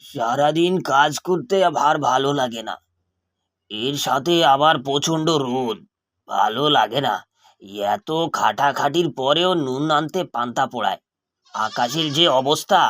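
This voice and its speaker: A man's voice: medium pitch, a little slow, a bit bright, lightly acted. A man acting a poor, troubled village husband and father in a cartoon, fretful and lamenting. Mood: weary, grumbling.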